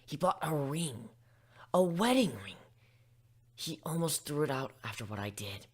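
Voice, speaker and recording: Husky female griffin acted by a woman, anxious, self-doubting, halting, very animated pitch, fan story reading. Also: exaggerated voice. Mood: wistful excitement. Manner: agitated, halting, stammering. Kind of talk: fan fiction dramatic reading.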